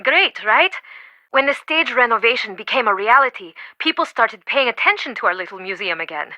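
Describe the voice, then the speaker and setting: An actress playing an earnest, overwhelmed museum curator in scripted video game dialogue. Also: female voice, fairly high pitch, expressive, fairly quick, sharp tone, no echo, muffled recording. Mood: earnest, rueful. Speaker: video game character voice actor.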